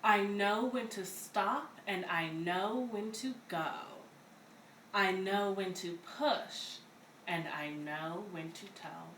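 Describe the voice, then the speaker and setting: A woman reading a children's picture book aloud in an expressive, deliberate way, as a story-time reader. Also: medium pitch. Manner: slow, deliberate, animated delivery for young children. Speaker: read-aloud story reader at a community center. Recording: roomy, distant mic, faint background noise.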